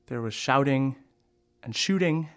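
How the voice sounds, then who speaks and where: An actor playing a candid, wry theatre costume designer and Vietnam veteran in an audio drama, telling things in a measured, reflective way. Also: male voice, medium pitch, animated, fairly bright tone, smooth. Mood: grave, reflective. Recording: music behind the voice.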